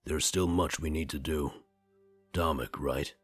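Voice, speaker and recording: Very deep, solemn ancient spirit. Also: male voice, steady tone, unhurried, fairly bright tone, husky, faint music behind, heavily acted. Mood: calm, commanding. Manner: cryptic, formal, speaks of itself as we. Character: ancient many-voiced spirit possessing a dead man's body, solemn. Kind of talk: visual novel game voice acting.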